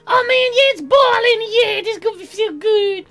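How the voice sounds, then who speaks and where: Squeaky, whiny, dim young woman. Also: moderate pace, fairly bright tone, soft background music. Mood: excited. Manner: childish mangled slangy grammar, whining. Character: whiny, dim, food-obsessed young female colonist neighbor. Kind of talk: game character voiced in a let's play.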